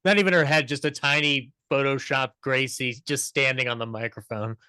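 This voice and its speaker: A man's voice: medium pitch, fairly even intonation, brisk, sharp tone, smooth, dry recording. A man on a chat panel, talking casually in a joking manner. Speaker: panel member.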